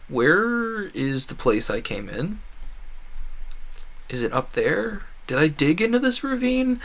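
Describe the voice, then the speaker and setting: A man's voice: a little high, takes it slowly, vocal fry. A young man streaming a game with casual, rambling commentary, animatedly acting out bits of conversation as he talks. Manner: animated, acts out quoted conversations.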